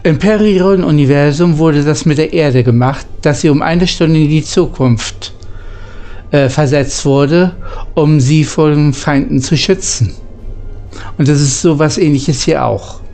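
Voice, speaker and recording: A man's voice: fairly high pitch, measured pace, mellow. A native German man reading out game dialogue replies and commenting on his playthrough. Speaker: gamer narrating a playthrough. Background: faint game music.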